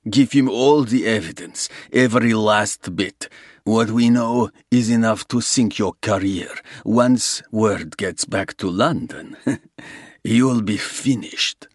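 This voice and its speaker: A man voicing a smug, menacing Russian gangster who talks slowly and mocks his rival. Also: on the low side, dry recording, clean studio sound, voice pushed up. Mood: gloating. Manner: slow, gloating, mocking. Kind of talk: audiobook character reading.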